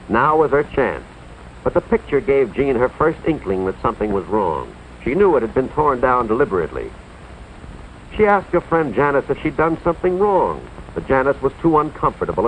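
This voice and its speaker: An American man playing an earnest, authoritative school official, narrating a cautionary tale in a deliberate, moralizing 1950s educational film style, with dramatic emphasis. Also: mid-range voice, a little hiss or hum, close to an everyday voice. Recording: tinny, band-limited 1950s film soundtrack, music behind.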